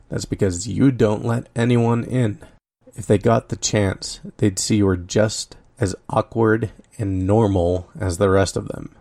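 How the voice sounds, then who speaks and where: A man's voice: on the low side, creaky, darkish tone, exaggerated voice. A man playing someone's embodied anxieties and traumas in a dramatic monologue, blunt, exasperated and swearing casually, yet caring. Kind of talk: dramatic monologue addressed to the listener.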